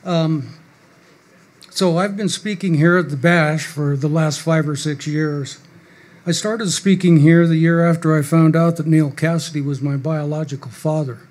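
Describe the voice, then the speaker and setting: A man's voice: on the high side, fairly even intonation, a little mellow. An older man reading prepared, wry remarks at a stand mic on a small club stage. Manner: measured, dry and wry, reading from paper. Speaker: guest speaker. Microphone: stand mic at a podium.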